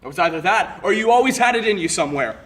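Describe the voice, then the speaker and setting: Bitter, bright-voiced young man, acted, far off. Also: mid-range voice, expressive, lightly acted. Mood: angry, scornful. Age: young adult. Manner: accusatory, emphatic, with deliberate pauses. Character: bitter, reproachful young male college student confronting a former friend. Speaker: stage actor. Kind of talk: dramatic stage monologue. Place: indoor performance space, picked up from far off. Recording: roomy, distant live stage recording, faint noise.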